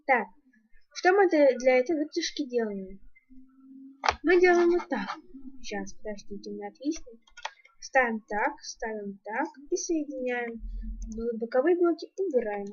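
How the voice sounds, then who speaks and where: A woman's voice: fairly high pitch, lively intonation, moderate pace, breathy edge. A woman giving a casual game building tutorial, thinking aloud and counting her steps as she goes, on a muffled home microphone. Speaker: YouTuber, gaming channel host. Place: indoors at home, screen-recording voice-over.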